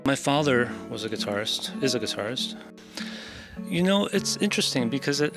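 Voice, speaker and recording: Gravelly-voiced guitarist from Poland. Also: male voice, medium pitch. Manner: hesitant, restarts phrases, soft-spoken. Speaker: guest classical guitarist. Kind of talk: casual interview.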